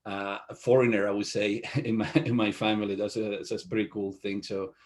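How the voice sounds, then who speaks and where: A middle-aged man speaking English with a Venezuelan Spanish accent, his voice sitting on the low side. He talks haltingly and keeps restarting his sentences with fillers, like an easygoing interview guest. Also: level tone, darkish tone. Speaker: guest, IT company CEO. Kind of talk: casual interview answers.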